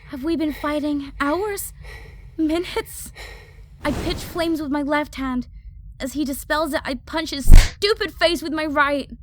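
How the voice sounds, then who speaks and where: A woman's voice: sits high, a bit bright, dry recording. A woman playing a hot-headed young female fire mage, narrating an audio drama in the first person with intense, clipped phrases. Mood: panicked, straining.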